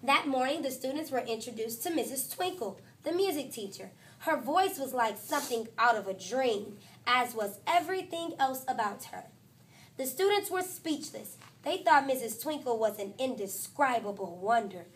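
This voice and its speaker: Teacher-type woman with a high voice reading a storybook to kids, lively and expressive. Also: normal speed, a little hiss or hum. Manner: expressive, voices the characters and talks to listeners. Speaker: teacher reading a picture book aloud. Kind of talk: children's storybook read-aloud.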